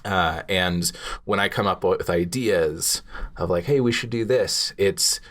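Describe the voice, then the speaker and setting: A man in his twenties co-hosting a casual podcast, talking in a thoughtful, measured way and slowing down on key words. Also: deep voice, fairly bright tone. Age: 20s. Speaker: podcast co-host.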